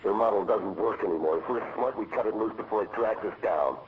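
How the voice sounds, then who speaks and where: A man's voice: on the low side, a little fast, narrow, tinny audio, noisy background, lightly acted. A man playing a persuasive turncoat agent. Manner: calm, persuasive, grows intense. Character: embittered male agent plotting betrayal, persuasive.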